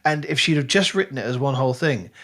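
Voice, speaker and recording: A man's voice: mid-range voice, animated, quick pace, fairly bright tone. A man co-hosting a book podcast, talking casually and with firm opinions, often repeating words for emphasis.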